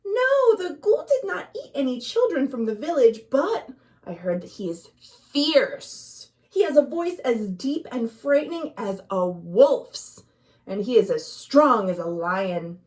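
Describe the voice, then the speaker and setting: Woman acting a worried, high-voiced village mother. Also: very animated, a little slow, a little mellow. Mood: anxious warning. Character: worried village mother, cautioning her child.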